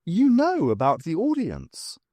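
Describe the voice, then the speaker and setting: A man voicing a bossy, quick-witted adventurer woman with a decisive, theatrical manner, in an audiobook. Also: high voice, huge pitch swings, mellow, no room sound, studio quality, pitched above the performer's own voice, full character voice. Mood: surprised.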